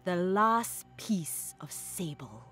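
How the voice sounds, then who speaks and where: An actress playing a knowing, sinister mystic woman in an audio drama, speaking deliberately with calm, ominous menace. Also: on the low side, soft background music, exaggerated voice. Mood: ominous revelation. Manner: slow, deliberate, coolly ominous.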